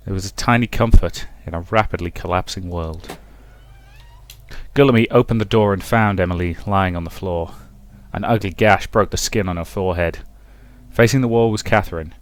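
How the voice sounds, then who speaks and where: A man's voice: sits low, no room sound. A man narrating a visual novel as a let's play host, reading the story dramatically.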